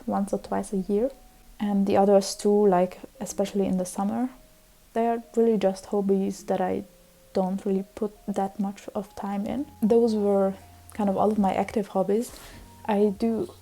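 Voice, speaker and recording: A woman's voice: mid-range voice, mellow. A young woman in her twenties, a native Hungarian speaker, chatting casually on her vlog, rambling with lots of fillers and restarts. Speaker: vlogger. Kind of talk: casual chat.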